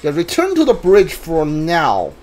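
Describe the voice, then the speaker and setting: Halting, slow-paced gamer thinking aloud. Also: medium pitch, huge pitch swings, darkish tone. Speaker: video game player and commentator. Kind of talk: casual live playthrough commentary. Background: video game music.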